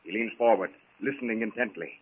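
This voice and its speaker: A man's voice: fairly low pitch, fast, husky. A man narrating a jungle adventure serial in florid, theatrical 1930s radio style. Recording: tinny, hissy 1930s radio recording.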